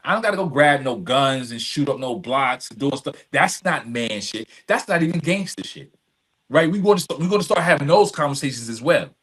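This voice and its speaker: A man's voice: medium pitch, animated, a little fast, a bit bright, husky. A man speaking as an interview guest, emphatic and plain-spoken in street phrasing, repeating phrases to drive a point home. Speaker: podcast guest, restorative justice advocate. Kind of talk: conversational interview advocacy.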